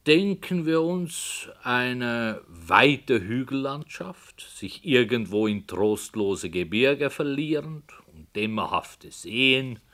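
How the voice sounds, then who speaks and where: An actor playing a brooding, hesitant author who tells a dark story in a slow, hushed voice that sometimes drops to a whisper, in 1960s radio play style. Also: male voice, on the low side, full character voice.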